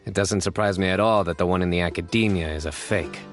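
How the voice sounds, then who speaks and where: A grave, reserved young warrior from a fantasy game, played by a man who speaks in short, clipped lines. His voice sits low. A clear game score runs behind him. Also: fast, soft background music, lightly acted. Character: stern young male desert warrior investigator.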